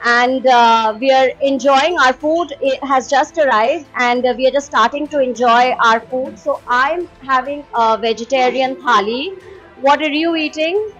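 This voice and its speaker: A woman with a high, light voice chats through a casual restaurant vlog, pausing often on "uh". The audio is dull and lo-fi, as from a camera mic, with faint music underneath. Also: a bit bright. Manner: chatty, frequent "uh" fillers. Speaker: vlog host. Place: busy indoor restaurant.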